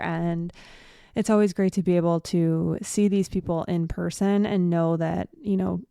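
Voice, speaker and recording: Woman podcaster, smooth low voice, slightly nasal and congested, dry close mic, rambling casual chat. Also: darkish tone, no echo. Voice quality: nasal, stuffy. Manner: chatty, rambling with many fillers and restarts. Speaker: podcast host.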